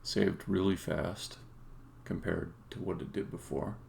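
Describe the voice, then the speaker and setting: A man doing casual live-coding commentary as a game developer, muttering haltingly to himself as he thinks aloud, with keyboard clicks behind him. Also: sits low, fairly even intonation, vocal fry, a little mellow. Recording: faint background noise, keyboard clicking.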